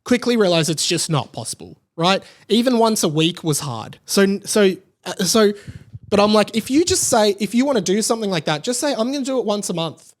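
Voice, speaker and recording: A man's voice: high-pitched, very animated, fairly quick. A man with an Australian accent hosting a podcast, chatting casually and informally in an emphatic, rambling way. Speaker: podcast host. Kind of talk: casual solo podcast chat.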